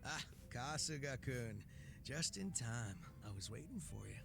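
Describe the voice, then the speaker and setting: An actor playing a laid-back, sly gangster boss with a soft heart in a video game, speaking in a casual, teasing drawl. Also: male voice, medium pitch, big pitch swings, measured pace, vocal fry, music in the background. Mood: casual, wry. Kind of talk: video game cutscene acting.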